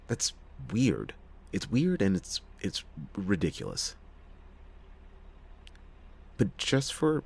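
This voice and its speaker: A man playing a cynical, reluctant, hard-drinking private detective in a noir ASMR roleplay, with a husky, gravelly voice and sardonic, theatrical delivery. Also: low-pitched, heavily acted. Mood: guarded, intrigued. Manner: sardonic, theatrical, halting speech with drawn-out emphasis. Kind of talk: ASMR detective roleplay, acted monologue to listener.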